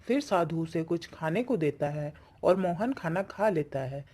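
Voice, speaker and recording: Woman narrator telling a kids cartoon fable, scripted, voice a bit on the low side. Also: lively intonation, measured pace, mellow.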